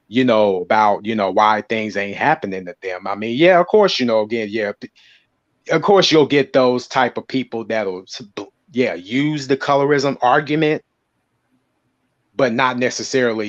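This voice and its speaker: A man speaking in a casual discussion, halting and restarting often with many fillers. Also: medium pitch, no echo. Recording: dull muffled line.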